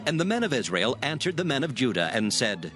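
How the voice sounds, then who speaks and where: A man's voice: mid-range voice, big pitch swings, fairly quick, a bit bright. A man narrating a dramatized scripture from a script in a solemn style. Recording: music behind the voice.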